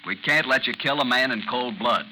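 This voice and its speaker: An actor playing a protective friend and former army officer, earnest and insistent, in 1950s radio drama style. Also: male voice, mid-range voice, fairly quick, pushed and bright. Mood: pleading, firm. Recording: noisy, sizzling 1950s radio recording.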